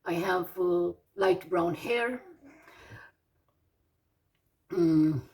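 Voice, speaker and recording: Woman in her forties, Romanian accent, low creaky voice, slow hesitant teacher, slightly distant mic. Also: darkish tone. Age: 40s. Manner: hesitant, many fillers, lists words one by one. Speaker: English teacher. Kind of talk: slow vocabulary lesson.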